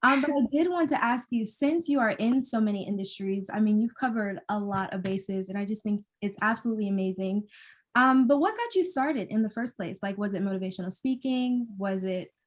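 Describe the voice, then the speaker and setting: A woman's voice: medium pitch, a little fast. A young woman co-hosting a podcast, chatting in an upbeat, effusive and emphatic way. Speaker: podcast co-host.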